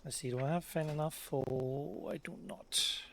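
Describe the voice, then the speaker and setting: A man giving casual let's play commentary, thinking aloud in a musing, unhurried way as he plays. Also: fairly high pitch, fairly even intonation, vocal fry, a bit bright. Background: faint game music.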